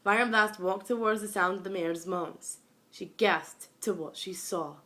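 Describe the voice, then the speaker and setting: A woman's voice: medium pitch, measured pace, pushed and bright. A young woman giving an amateur reading of a fan fiction story, narrating into a phone. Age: young, school age.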